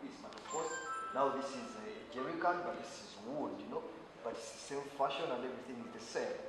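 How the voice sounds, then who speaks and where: A man's voice: medium pitch, fairly quick, loud music behind the voice. A man taking part in a workshop, giving short emphatic replies and explanations. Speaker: workshop participant. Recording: echoey hall, distant, noisy.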